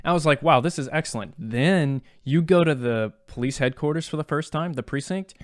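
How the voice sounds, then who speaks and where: A man's voice: fairly high pitch, brisk. A man co-hosting a casual video game podcast, speaking in an enthusiastic, emphatic and slangy way. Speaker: podcast co-host.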